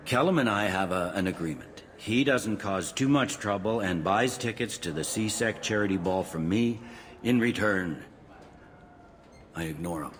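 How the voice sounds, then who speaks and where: A pragmatic middle-aged police captain in a sci-fi game. His voice is on the low side, weary and plain-spoken, in a reverberant room with slight noise. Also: lively intonation, a little slow, fairly bright tone. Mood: awkward, evasive. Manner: plain-spoken, hedging, matter-of-fact. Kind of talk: video game voice acting.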